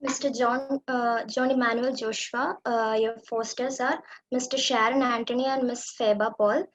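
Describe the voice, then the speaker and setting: Woman hosting, slightly high voice. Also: low-quality recording. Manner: steady, with frequent "uh" pauses. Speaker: event host. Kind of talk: reading out names at an online event.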